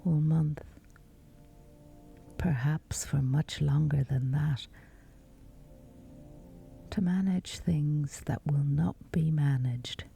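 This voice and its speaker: Very slow, hushed woman guiding sleep meditation. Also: deep voice, steady tone, gravelly, soft background music. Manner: hushed, whispery, unhurried delivery with long pauses. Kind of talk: guided sleep meditation, scripted narration.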